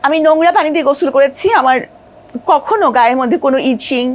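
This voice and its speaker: A woman's voice: high voice, fast talker. A middle-aged woman with a Bangladeshi accent telling her life story as an interview guest, emphatic and repeating her phrases.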